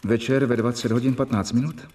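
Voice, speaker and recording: An actor in a radio play, playing a young doctor who narrates his medical journal in measured, reporting tones. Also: mid-range voice, fairly even intonation, darkish tone, far from the mic, close to an everyday voice. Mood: earnest. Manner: measured, reporting dates and symptoms.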